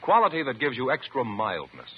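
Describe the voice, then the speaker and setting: A man's voice: medium pitch, huge pitch swings, brisk, slight background noise. An American man announcing in the formal, emphatic scripted style of 1950s radio. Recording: dull old radio recording.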